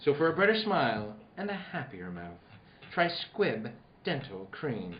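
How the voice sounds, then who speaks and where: A man's voice: medium pitch, big pitch swings, measured pace, roomy sound, muffled recording, slight background noise. A man playing a polished, upbeat old-time radio announcer reading scripted copy with grand, salesman-like emphasis.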